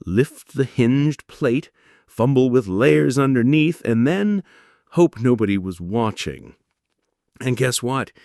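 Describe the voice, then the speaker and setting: A man narrating scripted history in a measured storytelling way, with dramatic pauses and wry asides. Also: medium pitch, no room sound, studio quality.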